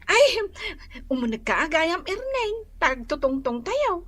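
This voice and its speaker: Really high bright excitable daughter, ilocano accent, radio drama, swings all over, bit of noise behind. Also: female voice, a little slow, heavily acted. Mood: flustered, delighted.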